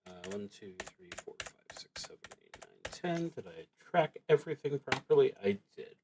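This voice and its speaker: A man's voice: medium pitch, expressive, moderate pace, a little mellow, a little hiss or hum. A man live-streaming a solo tabletop roleplaying game, reading prompts and thinking aloud as he plays.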